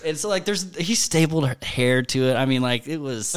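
A man's voice: medium pitch, lively intonation, moderate pace, clean tone. A man in his thirties co-hosting a casual podcast, telling a story with plenty of swearing and acted-out quotes. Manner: storytelling, swears often, mimics voices in quotes. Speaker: podcast co-host. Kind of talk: casual comedic chat.

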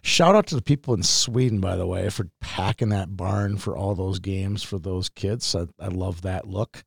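A man's voice: sits low, moderate pace, creaky, slightly rough, no room sound, studio quality. A man with a Minnesotan accent co-hosting a sports podcast in loose, teasing, casual chat. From Minnesota, United States.

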